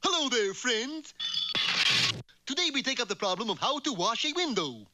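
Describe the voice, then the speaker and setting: A dopey cartoon moose lectures as a self-assured expert. His delivery is lively and goofy, on a noisy old soundtrack. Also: very high-pitched, moderate pace. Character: dim, self-assured moose playing know-it-all instructor. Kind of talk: mock instructional cartoon presentation.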